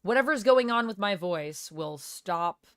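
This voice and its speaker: A woman streamer under the weather with a cold, talking casually with a gravelly, smoky voice as she chats with viewers and thanks them by name. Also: mid-range voice, expressive, a little slow, dry recording, clean studio sound. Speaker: live streamer. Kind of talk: casual live-stream chat, reading viewer comments. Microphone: gaming headset.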